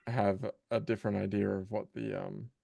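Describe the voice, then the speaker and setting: A man's voice: fairly low pitch, flat delivery, normal speed, gravelly, dark tone. A man talking casually as a guest in an online chat, tentative and often restarting his phrases. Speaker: audiobook voice actor, guest.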